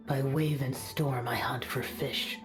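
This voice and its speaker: An actor playing an aloof, brooding young male demon-slayer in a video game, terse and solemn. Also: medium pitch.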